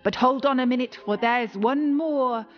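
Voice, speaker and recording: A woman voicing an old male sorcerer who gives commands in measured, rhyming incantations. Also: sits high, highs cut off, soft background music, pitched above the performer's own voice, natural voice. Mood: commanding.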